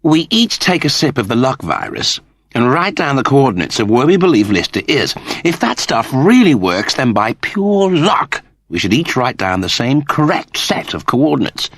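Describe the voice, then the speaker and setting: A man voicing an officious, self-important space crewman in a sci-fi audiobook, with a brisk, clipped manner. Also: medium pitch, sing-song, no room sound, voice pushed up. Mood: eager, scheming.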